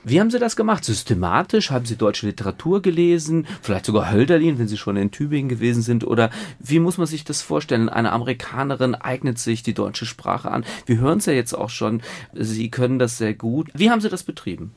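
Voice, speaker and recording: Male radio host for a conversational interview; medium pitch, dry, close sound. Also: lively intonation, brisk, few pauses, a little mellow, no room sound.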